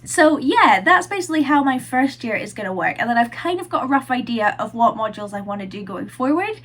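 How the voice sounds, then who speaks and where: A woman's voice: fairly high pitch, sing-song, fairly quick, a bit bright. A young woman vlogging from her room, chatting casually with plenty of fillers, her nose blocked from a cold. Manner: chatty, lots of "like" and "um". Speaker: vlogger and university student.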